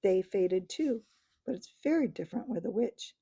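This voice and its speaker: A defiant male dwarf, voiced by a woman reading aloud who snaps bitterly and rises to shouts. The storybook voice is gravelly and mellow. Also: medium pitch, animated, moderate pace, highs cut off. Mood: scornful. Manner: bitter, snapping, rising to shouts.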